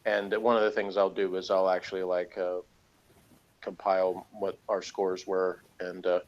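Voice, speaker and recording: A man's voice: deep voice, level tone, normal speed, muffled recording. A man co-hosting a casual review chat, talking in a rambling, opinionated and sardonic way. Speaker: co-host of a TV episode review show.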